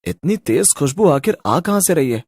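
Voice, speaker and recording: An actor playing a naive, lovestruck young man with a husky voice and an earnest, wondering way of talking in a horror story. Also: a little high, sing-song, normal speed, darkish tone, dry recording, exaggerated voice. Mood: puzzled.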